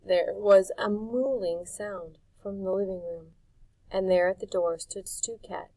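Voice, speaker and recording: A woman reading a storybook chapter aloud in a calm, measured way. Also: mid-range voice, no echo, rough recording. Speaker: audiobook reader. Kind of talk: storybook narration.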